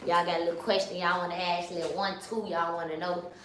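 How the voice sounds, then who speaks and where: A woman's voice: lowish voice, little pitch movement, fast talker, a bit bright, reverberant, a little hiss or hum. A young woman from Chicago in her twenties, hosting a live stream in loose, slangy casual chat that keeps turning to the chat. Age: 20s. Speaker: livestream host.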